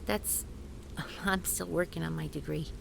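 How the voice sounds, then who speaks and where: Woman as an anxious young student for an audio drama, flustered and rambling, lowish with some fry. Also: steady tone, a little slow, noisy background, full character voice. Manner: flustered, rambling, self-correcting. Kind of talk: scripted audio roleplay.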